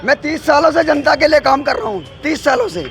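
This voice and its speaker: A hoarse man in his forties, a ward candidate speaking insistently and repeating himself in a street interview. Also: extremely high pitch, fairly quick, a bit bright, faint music behind, some chatter behind. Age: 40s.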